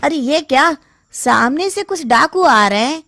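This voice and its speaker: A woman playing a greedy, cowardly young man in a cartoon story, excitable and wheedling. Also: high-pitched, a little slow, no room sound, clean studio sound, full character voice. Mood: excited.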